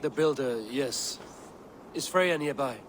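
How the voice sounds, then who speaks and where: A man's voice: medium pitch, slow pace, a little hiss or hum, lightly acted. A man playing a stern, weary Norse god-king in a dramatic video game, speaking with portentous authority. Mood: grave.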